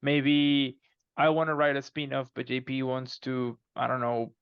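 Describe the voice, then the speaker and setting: Creaky-voiced man, halting, on a dull mic. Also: medium pitch, monotone, no room sound. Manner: halting, repeats words as he starts phrases. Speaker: podcast guest, fiction co-author. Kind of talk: conversational interview answers.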